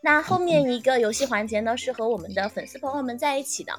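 A woman's voice: fairly high pitch, expressive, fast. A woman hosting a livestream game segment in a casual, chatty way. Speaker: host of an audio drama fan livestream.

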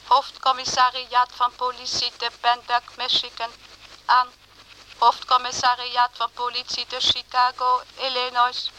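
An actress playing an impersonal clerk in a radio drama, reading out official messages in a clipped, formal monotone. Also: female voice, on the high side, measured pace, a little hiss or hum, rough recording. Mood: officious, flat. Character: impersonal woman clerk reading out official police telegrams and memos. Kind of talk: radio drama, flat bureaucratic read-out.

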